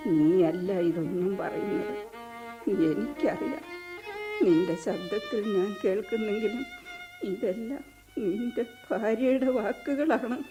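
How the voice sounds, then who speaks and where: A woman's voice: a little high, close to an everyday voice. An actress playing a stern, bitter middle-aged village mother in an old radio play. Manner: slow, cutting and reproachful. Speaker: mother of the household. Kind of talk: 1970s radio drama acting. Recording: radio play with music behind.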